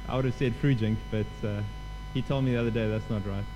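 A man's voice: mid-range voice, steady tone, brisk, music in the background, poor audio. A man with a South African accent welcoming a congregation and introducing a guest preacher in a chatty, self-deprecating way. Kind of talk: casual church welcome.

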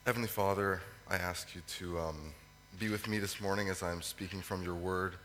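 A man preaches through a cold, his voice hoarse and fading. He talks in a fairly low register, halting with ums and pausing to clear his throat. The sermon rings through a reverberant hall over a faint hum. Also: steady tone, a bit bright. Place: church hall. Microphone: microphone.

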